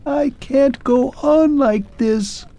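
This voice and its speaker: A man voicing a melancholy clockwork man who speaks in a shrill, faint, pleading way. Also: slow, dark tone, heavily acted. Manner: pleading, wistful, grows faint and distant.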